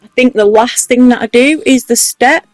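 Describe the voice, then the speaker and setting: A woman's voice: a little high, normal speed, no echo, soft background music. A woman with a strong Mancunian accent, casually talking through a game building tutorial step by step. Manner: chatty, explaining step by step. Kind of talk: casual commentary over gameplay.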